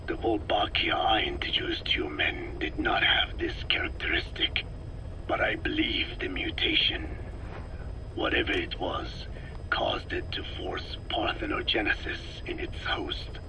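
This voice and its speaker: An actor playing a cold, older male scientist who lectures slowly in a gravelly whisper, on a game audio tape. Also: fairly low pitch, poor audio. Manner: slow, lecturing, measured. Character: cold elderly male parasite scientist, calm and clinical. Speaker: scientist explaining parasites. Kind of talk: game audio log acting.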